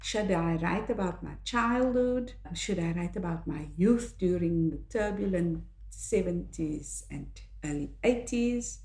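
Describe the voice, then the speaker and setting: An older woman from South Africa, a native Afrikaans speaker with a South African accent, reflecting on her own life in a measured, earnest way. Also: lowish voice, animated, darkish tone. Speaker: author and podcast host. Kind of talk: reflective personal monologue. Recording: echoey room.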